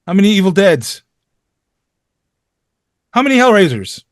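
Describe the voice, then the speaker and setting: Teenage film geek, male actor, talkative and animated, fairly high, for a table read. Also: unhurried, no room sound, clean studio sound. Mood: knowing. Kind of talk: informal script table read.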